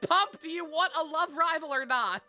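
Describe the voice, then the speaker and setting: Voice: sits high, normal speed, bright, forceful tone, faint music behind. A game streamer giving casual live commentary in a blunt, sarcastic, sweary way.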